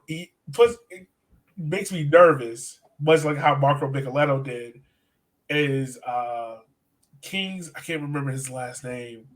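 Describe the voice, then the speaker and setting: A man co-hosting a soccer podcast, chatting informally and slangily as he breaks down the game. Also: medium pitch. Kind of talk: casual sports chat and game analysis.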